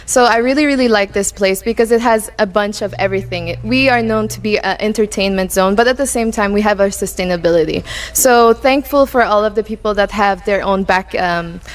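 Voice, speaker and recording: A woman's voice: medium pitch, a little fast, hardly any pauses. A woman in her twenties, a pageant contestant, answering interview questions earnestly in English with Tagalog words mixed in. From Macau. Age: 20s.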